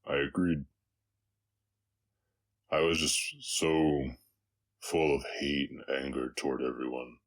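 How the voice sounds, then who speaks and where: A man voicing a brooding, remorseful orc cowboy outlaw with a slight Southern US accent, speaking haltingly and somberly in an intimate confessional roleplay. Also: rumbling low, fairly even intonation. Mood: bitter, dark. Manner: halting, somber, long pauses. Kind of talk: intimate scripted roleplay audio, confessional storytelling.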